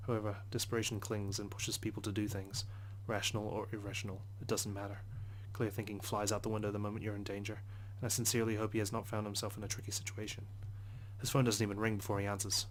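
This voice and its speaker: A man reading visual novel narration aloud as a let's play host, subdued, with hushed asides. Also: lowish voice, flat delivery, fast talker, slight background noise, poor audio. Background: soft guitar music.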